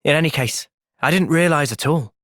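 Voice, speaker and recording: A young man playing an earnest, thoughtful young soldier hero in a fantasy video game's cutscenes, with expressive delivery. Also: fairly high pitch, fairly quick, no room sound, clean studio sound, close to an everyday voice. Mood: calm, thoughtful. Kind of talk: video game cutscene acting.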